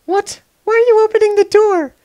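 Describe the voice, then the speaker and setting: A performer voicing an exasperated, sharp-tongued young female adventurer in a fan-made game parody dub. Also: very high voice, fairly even intonation, brisk. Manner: exasperated, snippy.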